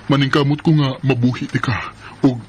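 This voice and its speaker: A man's voice: medium pitch, steady tone, normal speed, slight background noise, natural voice. A man playing a deceitful, jealous young husband with a violent temper in a Bisaya radio drama. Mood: sheepish, coaxing.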